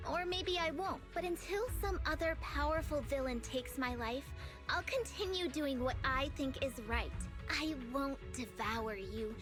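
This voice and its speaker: Earnest, very high-pitched heroic girl. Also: animated, measured pace, music in the background. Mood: solemn, resolute. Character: earnest righteous young tiger girl martial disciple, easily flustered. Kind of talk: anime game voice acting.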